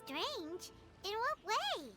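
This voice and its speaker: A tiny fairy sidekick with an extremely high, swooping childlike voice. The character is excitable and blunt, a video game companion, with loud music playing behind. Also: female voice, very slow, heavily acted. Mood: puzzled, prodding. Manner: speaks of self in third person, exclamatory.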